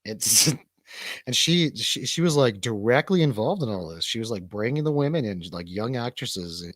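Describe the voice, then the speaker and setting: A man's voice: medium pitch, lively intonation, moderate pace, a bit bright, no echo, clean studio sound. A man hosting a casual livestream chat, laid-back, slangy and rambling. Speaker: host of a livestream show.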